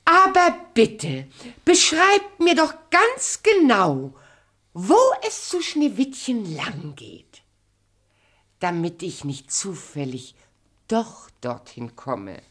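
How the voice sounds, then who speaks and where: Sly, swooping comb-seller. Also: female voice, medium pitch, huge pitch swings, slow, a bit bright, heavily acted. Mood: sly, falsely grateful. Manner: coaxing, theatrically singsong. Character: wicked queen disguised as sweet wheedling comb-seller woman. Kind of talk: German radio play for children.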